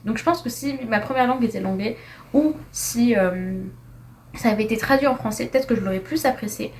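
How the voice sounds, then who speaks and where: A woman's voice: fairly high pitch, a little fast, slight background noise. A young woman giving a casual, chatty review of books, tripping over her words and dropping slang as she ranks them. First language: French. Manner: chatty, animated, with slang and frequent restarts. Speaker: book reviewer. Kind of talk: casual tier-list book review.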